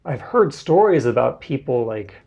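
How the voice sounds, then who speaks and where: A young man explains things in a relaxed, rambling way, threading his points together with lots of filler words. His voice has a creaky edge and a slightly husky grain, at an ordinary middle pitch. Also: moderate pace. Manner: loose and explanatory, many "like" fillers. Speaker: interview guest, Japanese tutor. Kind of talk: casual conversational interview.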